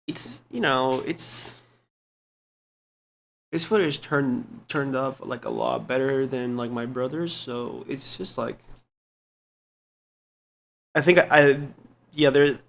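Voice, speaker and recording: A young man streamer with a low speaking voice, talking in a rambling, slangy way full of restarts and fillers, close to a desk mic at home. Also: slow, creaky, long pauses, a bit bright. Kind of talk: casual chat. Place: home computer room.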